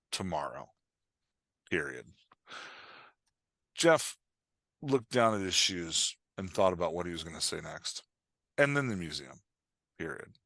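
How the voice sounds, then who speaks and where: A man's voice: sits low, fairly bright tone, dry recording, studio quality. A man chatting casually as a podcast guest, coaching on a craft, explaining with improvised examples and frequent "like" and "right?" check-ins.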